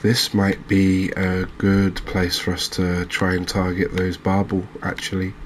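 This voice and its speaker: Deep voiced guy doing chill asmr game commentary, barely varies his tone, bit of background noise. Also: monotone, unhurried. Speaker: gaming video host.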